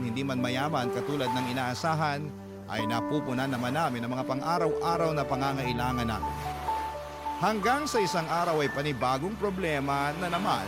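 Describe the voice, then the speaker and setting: Man voicing an earnest storyteller over loud music. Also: fairly high pitch, lightly acted. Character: earnest young family man recounting his life. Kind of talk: first-person radio drama narration. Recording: loud music bed under narration.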